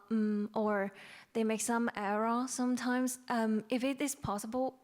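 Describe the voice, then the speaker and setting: Woman, Cantonese accent, native Cantonese speaker, fairly high voice, audience questioner. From Macau. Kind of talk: question from the floor.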